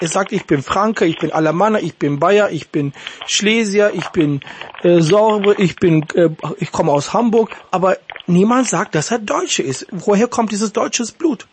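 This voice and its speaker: A man's voice: high voice, a little hiss or hum. A man interviewing a guest, asking searching questions with some hesitation. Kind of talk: interview conversation.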